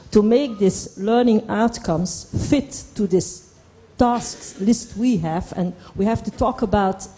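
A middle-aged Flemish woman explains her work on a conference panel, halting and repeating words for emphasis, into a handheld microphone. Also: mid-range voice, mellow. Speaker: panelist from an employment service. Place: indoor conference hall stage.

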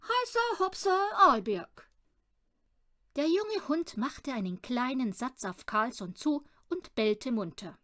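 A woman reading a children's audiobook as an expressive storyteller. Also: fairly high pitch, unhurried, hardly any pauses. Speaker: audiobook reader.

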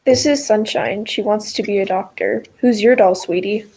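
A woman's voice: medium pitch, moderate pace, warm tone, husky, poor audio. A teenage girl reading a picture book aloud over a video call.

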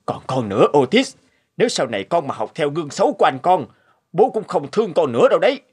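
A man voicing a stern, short-tempered father in a cartoon, scolding in a gruff, husky voice. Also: fairly high pitch, sing-song, fast talker, darkish tone. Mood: angry, scolding. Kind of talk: Vietnamese animated moral tale dubbing.

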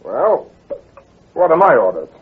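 An actor playing a genial, trusting gentleman heir in an old radio drama, husky and a little hesitant, on a muffled, tinny recording. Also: on the high side, big pitch swings, unhurried, heavily acted. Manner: hesitant, stammering, hearty.

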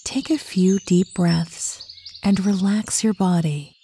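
A woman narrating a guided meditation in a calm, soothing, unhurried way, with a soft voice that turns breathy at phrase ends. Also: mid-range voice, a little hiss or hum. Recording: birdsong and nature ambience behind. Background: birdsong, outdoor nature sounds.

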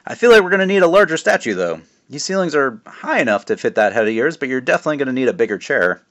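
A man voicing a loud, joking father who loves embarrassing his son, booming and theatrical. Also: sing-song, fast talker. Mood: hammy. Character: loud, joking, embarrassing middle-aged dad.

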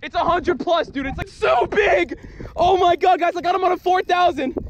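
A young man hosting a fishing vlog from a small boat, giving excited commentary and shouting as he fights a fish. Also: extremely high pitch, normal speed, muffled recording. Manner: hyped, shouting during the fight. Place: small boat on open water outdoors. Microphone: action camera on boat. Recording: loud wind and water noise.

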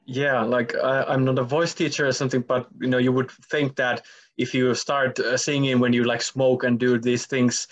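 A man's voice: medium pitch, level tone, normal speed. A young man interviewing a musician over a video call, asking casual questions with many fillers and hesitations. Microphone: video call with headset. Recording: dull video call audio.

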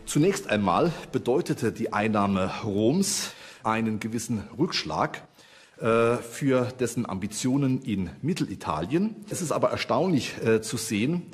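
Mid-pitched male expert explaining. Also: animated, measured pace, breathy edge, soft background music. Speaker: expert interviewee. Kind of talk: explanatory documentary interview.

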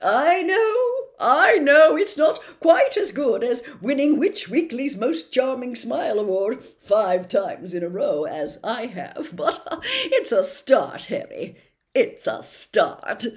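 A woman's voice: fairly high pitch, very animated, slow pace, voice pushed up. A woman voicing a vain, smug male celebrity wizard professor in a storybook reading, gushing and condescending. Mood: smug, boastful.

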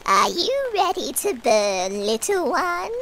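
Shrill, very slow shadow witch. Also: very animated, fairly bright tone, slight background noise, heavily acted. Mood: gloating menace. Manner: theatrical, drawn-out taunting. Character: menacing, gloating female shadow witch, a wobbly blob. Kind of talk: audio drama acting.

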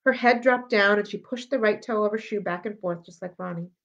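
A woman's voice: mid-range voice, a little fast. A woman reading a children's novel aloud expressively, as a library storyteller. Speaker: library read-aloud reader. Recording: dull lo-fi audio.

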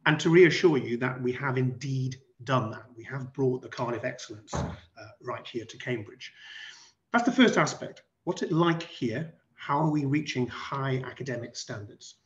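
A man's voice: mid-range voice, expressive, moderate pace. Middle-aged man presenting, dull online-call audio. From West Wales. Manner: explanatory, rhetorical questions, emphatic repetition. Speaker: college head. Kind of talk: online presentation to prospective families. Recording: dull band-limited video call audio.

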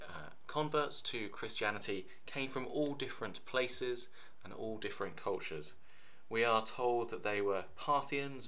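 Bright-voiced vicar preaching. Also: male voice, on the low side. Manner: earnest, steady preaching. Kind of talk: reflective sermon to camera. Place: living room at home. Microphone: webcam at desk.

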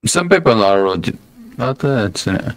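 A man from Iraq chatting casually with a stranger, relaxed with short replies. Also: mid-range voice, unhurried. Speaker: stranger on video chat. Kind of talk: casual chat.

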